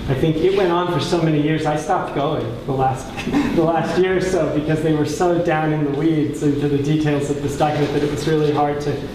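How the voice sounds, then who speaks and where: A middle-aged man with a soft voice, giving thoughtful, halting remarks as a councilor at a public meeting. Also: fairly high pitch, steady tone. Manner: thoughtful, halting, searches for words. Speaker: borough councilor speaking at a caucus meeting. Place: indoor council meeting room. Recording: echoey room, distant mic, clear background noise.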